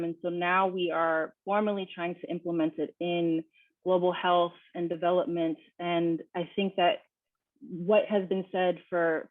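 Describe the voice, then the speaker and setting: A woman speaks calmly on a panel. She has a flat, even delivery, and her voice comes through a tinny, phone-like line with a dry sound. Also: on the low side, no room sound. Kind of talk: panel discussion.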